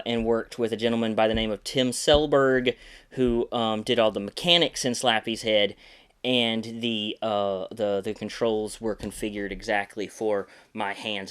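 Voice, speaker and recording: A man's voice: fairly low pitch, a bit bright, clear, resonant tone. A man hosting his podcast in an easygoing, rambling way, full of filler words and restarts, and a little congested from sinus trouble. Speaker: podcast host and puppeteer. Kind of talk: casual podcast chat.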